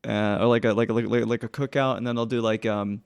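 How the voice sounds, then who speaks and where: A man chatting casually as a podcast co-host, grumbling in a halting, informal way. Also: medium pitch, steady tone, creaky, clean tone, no room sound. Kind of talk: casual chat.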